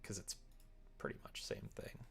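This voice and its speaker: A creaky-voiced livestreamer chatting casually and thinking aloud while coding, rambling, muttering and a little self-deprecating. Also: moderate pace, a bit bright. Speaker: livestreamer making a game.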